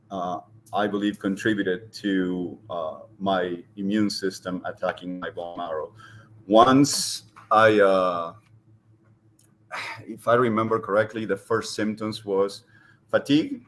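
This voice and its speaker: A middle-aged man from Argentina with an Argentine Spanish accent, speaking as an interview guest and pausing often with ums and restarts. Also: sits low, slight background noise. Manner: hesitant, many ums and restarts. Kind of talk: casual interview talk.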